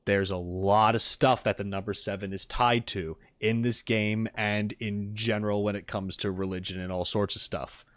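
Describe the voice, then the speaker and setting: A man's voice: on the low side, fairly even intonation, normal speed, few pauses, no room sound. A man hosting a casual reaction livestream, chatting with viewers in a rambling, playful way and often repeating his points. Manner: playful, jokey banter. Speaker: livestream host reacting to game content. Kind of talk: casual chat with live viewers.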